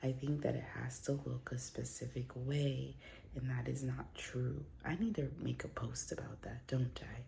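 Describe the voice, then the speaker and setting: A woman's voice: rumbling low, steady tone, normal speed, creaky, warm tone, a little hiss or hum. A woman in a casual home vlog, rambling and chatty with many fillers, a little congested from a cold, filmed on her phone. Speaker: vlogger. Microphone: phone camera.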